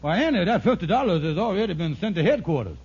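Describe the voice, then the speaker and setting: An actor playing a scheming, fast-talking lodge boss in a 1940s radio sitcom, folksy and ungrammatical, with a hesitant, wheedling manner. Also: male voice, high voice, very animated, a little mellow, breathy edge, exaggerated voice. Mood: sly, wheedling. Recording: muffled, noisy old radio recording.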